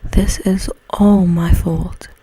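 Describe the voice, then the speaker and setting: A woman voicing a gentle, brave young woman in a breathy storybook whisper, tender and earnest. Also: fairly low pitch, flat delivery, slow, no echo, exaggerated voice, low-quality recording. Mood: distressed.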